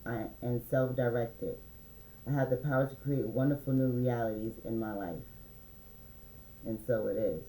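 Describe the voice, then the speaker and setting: A man giving a relaxed, meandering spiritual card reading, reading affirmations aloud slowly, with many fillers. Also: mid-range voice, echoey room, a little hiss or hum, poor audio. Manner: slow, reads affirmations aloud. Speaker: spiritual reader.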